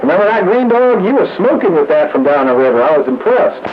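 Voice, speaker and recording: A man's voice: high-pitched, very animated, fast talker. A plain-spoken, matter-of-fact man chatting casually over the radio. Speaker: radio hobbyist in a group conversation. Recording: muffled, noisy two-way radio audio.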